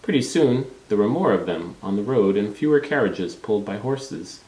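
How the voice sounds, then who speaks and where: Man, children's read-aloud, low pitch, echoey room. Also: expressive, moderate pace, a little mellow. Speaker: storybook reader. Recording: roomy, echoing room sound.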